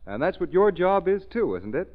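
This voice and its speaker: A man's voice: high voice, big pitch swings, moderate pace. A man announcing and interviewing in a formal, polished old-time radio style. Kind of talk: 1930s-40s radio announcing. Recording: muffled vintage radio recording.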